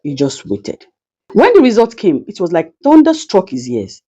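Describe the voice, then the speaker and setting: Mellow, animated woman storyteller. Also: mid-range voice, normal speed, muffled recording. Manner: addresses listeners directly, proverbial. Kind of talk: dramatic scripted folktale narration.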